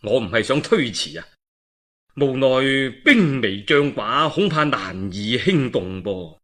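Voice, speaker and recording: A male storyteller acts out a righteous, earnest warlord in a Cantonese historical tale. He speaks formally and sincerely, humble yet firm, in a lowered voice with some huskiness. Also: lively intonation, slow pace, fairly bright tone. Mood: sorrowful, reluctant.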